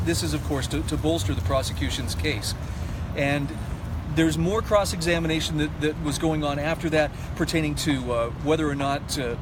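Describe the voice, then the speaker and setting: A man giving an informal spoken news update with opinion, explaining things earnestly. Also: on the high side. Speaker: court trial commentator giving updates. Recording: clear outdoor street and traffic noise.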